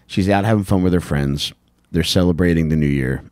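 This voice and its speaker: A man's voice: deep voice, fairly quick, darkish tone, no room sound. A man in his thirties casually telling a true-crime story as a podcast host, speaking into a close handheld microphone. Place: small wood-panelled podcast room with couches, indoors. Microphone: handheld microphone held close.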